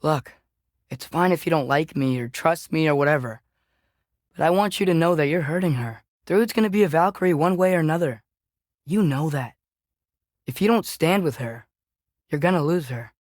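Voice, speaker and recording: A man's voice: on the high side, normal speed, dry recording, close to an everyday voice. Guy voicing an earnest american teen boy adventurer in a video game, restless, really dry close studio sound. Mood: earnest, hesitant. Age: teenager. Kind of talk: video game character dialogue.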